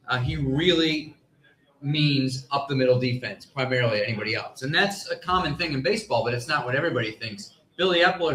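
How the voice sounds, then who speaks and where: A man speaking as a sports analyst on a live panel, explaining his points in a measured, qualifying way. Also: medium pitch, a bit bright. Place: live convention panel stage. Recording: echoey live room.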